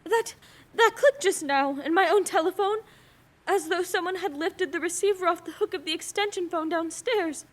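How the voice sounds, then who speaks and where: A woman's voice: very high voice, moderate pace. An actress playing a nervous, demanding bedridden woman in a suspense radio play, agitated and pleading. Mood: alarmed, fearful.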